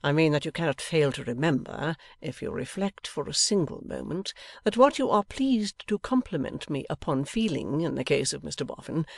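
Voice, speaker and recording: A storybook reader voicing a smug, showy gentleman husband with a pompous, measured, smooth-talking manner. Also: medium pitch, no room sound, studio quality.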